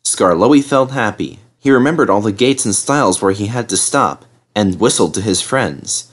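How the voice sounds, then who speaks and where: A man reading a children's story aloud as an expressive narrator. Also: on the low side, normal speed. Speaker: storybook reader.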